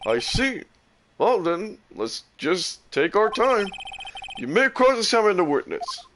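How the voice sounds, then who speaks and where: A man's voice: sits high, big pitch swings, a little slow, background noise, voice pushed up. A man voicing an elderly, polite and easily cowed judge in a video game, speaking hesitantly. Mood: flustered, polite.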